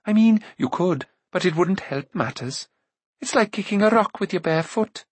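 A man voicing a spirited young princess with tart, teasing retorts, pitched higher than his own voice. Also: animated, moderate pace, husky, no echo.

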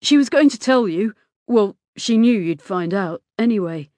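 A woman's voice: mid-range voice, expressive, moderate pace, a little mellow, no echo, close to an everyday voice. A woman voicing a distraught, guilty teenage schoolboy in an audiobook story. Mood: anguished confession.

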